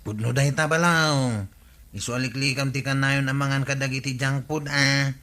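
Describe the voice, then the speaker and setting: Guy acting a caring uncle in radio drama, bright voice, kinda lecturing. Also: medium pitch, fairly even intonation, moderate pace, natural voice. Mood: gently scolding. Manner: advising, mildly scolding. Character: caring uncle, gently scolding adult man.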